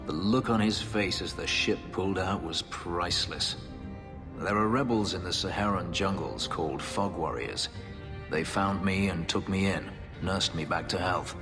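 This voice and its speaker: A man's voice: low voice, moderate pace. An actor playing a bitter elven male ex-slave warrior in a video game, grave and clipped. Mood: wry. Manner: grave, clipped, controlled intensity. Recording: game audio with reverb and music.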